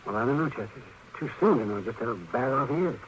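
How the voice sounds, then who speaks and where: An American man acting a crusty, excitable older town doctor, fussy and halting, in a 1950s Western radio drama with a hissy old recording. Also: medium pitch, close to an everyday voice, poor audio. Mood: grave, working.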